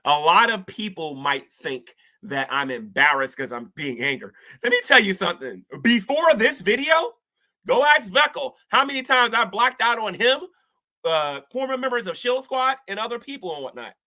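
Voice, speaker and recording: Guy talking to camera, kinda high, bright sharp voice, rambly and slangy, gets preachy about the gospel. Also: big pitch swings, normal speed. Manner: rambling, colloquial with "ain't", many restarts; preachy, talks of gospel and spiritual war. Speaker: online commentator. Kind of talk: casual self-reflective commentary.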